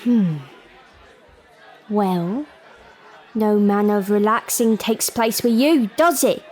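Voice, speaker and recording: An actress playing a weary, sharp-tongued barmaid in an audio drama, wry and guarded, with a working-class English accent. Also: female voice, mid-range voice, slow pace, some chatter behind, close to an everyday voice.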